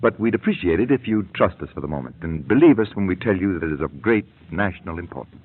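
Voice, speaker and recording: A man's voice: low voice, lively intonation, quick pace, slightly rough. A man playing an earnest university professor in an old radio drama, speaking in formal, wordy sentences. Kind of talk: 1940s American radio acting. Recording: tinny old radio recording.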